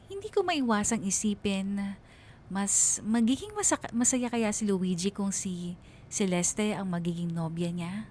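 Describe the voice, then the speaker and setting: A woman's voice: medium pitch, lightly acted. A woman playing a reflective young woman narrating her love story on radio, with a Filipino accent. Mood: wistful, insecure. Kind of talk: dramatized letter narration on radio.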